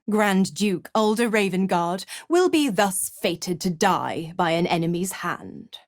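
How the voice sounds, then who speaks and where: An actress playing a seductive, mocking, imperious female devil in a video game, with a sultry, taunting and theatrically drawn-out delivery. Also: medium pitch, no room sound, clean studio sound.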